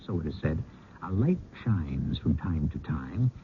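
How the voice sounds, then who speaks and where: A man hosting a radio mystery drama with measured, scripted narration. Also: very deep voice, big pitch swings. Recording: muffled phone-like radio recording, faint noise.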